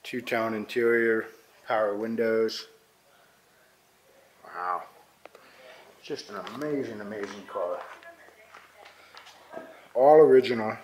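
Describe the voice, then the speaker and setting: A man filming a walkthrough of an old barn, narrating in a calm, matter-of-fact way with pauses, recorded on a handheld camera inside a metal barn. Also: on the low side, a little hiss or hum, rough recording. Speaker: vlogger filming a barn find. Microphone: handheld camera mic.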